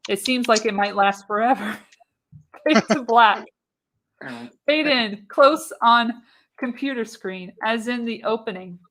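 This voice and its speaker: A woman's voice: on the high side, takes it slowly, pauses often, a bit bright, low-quality recording. A woman reading screenplay narration for a live radio play, theatrical and emphatic on the action. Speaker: podcast host reading the narration.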